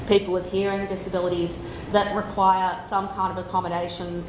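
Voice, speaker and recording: A woman with an Australian accent giving a conference talk, loud and chatty, slowing down on purpose. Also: fairly low pitch, flat delivery, reverberant, background noise. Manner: loud, chatty, deliberately slowed. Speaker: conference speaker. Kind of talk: conference presentation. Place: conference room.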